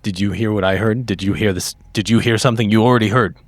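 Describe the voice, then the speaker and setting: A man's voice: lowish voice, steady tone, fast talker, dry recording. A man playing a tabletop roleplaying game, improvising casually in character.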